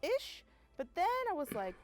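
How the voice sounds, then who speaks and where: A woman musing over her guesses in casual chat, hesitant and filler-heavy. Her voice sits fairly high and swings a lot in pitch, with faint music underneath. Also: wildly swooping pitch, normal speed, a little mellow. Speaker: contestant guessing languages.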